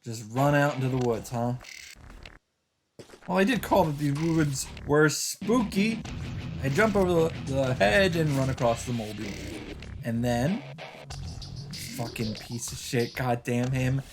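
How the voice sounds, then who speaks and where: Casting: male let's play host, medium pitch, informal dramatic read-aloud with asides, music clearly behind the voice. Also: unhurried, pauses often. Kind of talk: reading visual novel text aloud with asides. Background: visual novel soundtrack.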